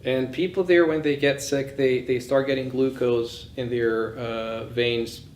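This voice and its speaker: A man's voice: mid-range voice, fairly even intonation, measured pace, a little mellow. A man giving an informal lecture, thinking aloud in a conversational and opinionated way. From born in Bulgaria, grew up in several countries. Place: indoors in a session room. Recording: echoey room, faint background noise.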